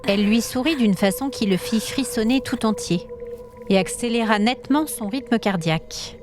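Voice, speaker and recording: A woman's voice: fairly high pitch, normal speed. Woman narrating a suspenseful story. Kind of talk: scripted dramatic story narration in French.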